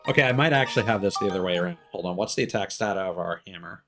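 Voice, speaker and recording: A man's voice: sits low, very fast. A man giving casual live gameplay commentary as a streamer, thinking aloud in an analytical way. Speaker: game streamer. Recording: loud game music behind voice. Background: video game music.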